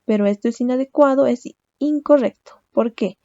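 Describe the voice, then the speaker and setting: Gravelly, dark-voiced woman teaching. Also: a little high, moderate pace, no echo, dull audio. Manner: explains step by step, ends phrases with a questioning "¿no?". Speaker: language teacher. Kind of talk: recorded lecture.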